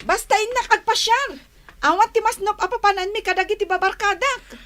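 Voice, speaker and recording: Very high-pitched spoiled rich girl. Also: brisk, fairly bright tone. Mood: defiant. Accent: Ilocano. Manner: haughty, dismissive. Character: spoiled, bossy rich young daughter ordering the maid. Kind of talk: Ilocano radio comedy drama acting.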